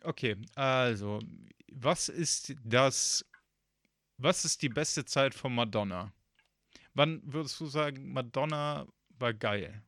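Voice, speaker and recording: A man's voice: mid-range voice, slow, fairly bright tone, no echo, studio quality. A man hosting a casual podcast, rambling and joking with lots of fillers. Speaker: podcast host. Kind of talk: casual chat.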